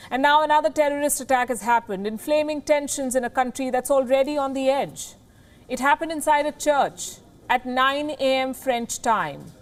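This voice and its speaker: A woman with an Indian accent reading scripted news as an anchor, emphatic and formal. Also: high voice.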